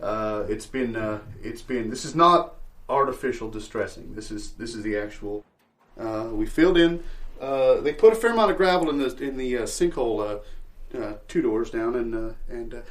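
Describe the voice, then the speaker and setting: Man playing a halting, folksy landlord salesman. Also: mid-range voice, lively intonation, close to an everyday voice. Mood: rambling, evasive. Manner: halting, hedging salesman, trailing off mid-sentence. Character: rambling, folksy male landlord evasively pitching a shabby rental cottage. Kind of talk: improvised comedy audio theatre.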